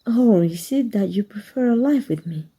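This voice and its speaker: A secretive, cunning teacher of dark magic, played by a woman in an ASMR roleplay, soft and measured and slipping into whispers. Her voice is dark and husky, with a gravelly fry at the ends of phrases. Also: medium pitch, lively intonation. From Mexico. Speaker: voice actor.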